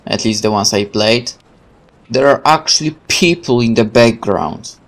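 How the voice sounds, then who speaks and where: A man's voice: mid-range voice, a little slow. A man hosting a let's play, switching between casual commentary and reading the game's narration aloud, with game music behind him. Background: video game music.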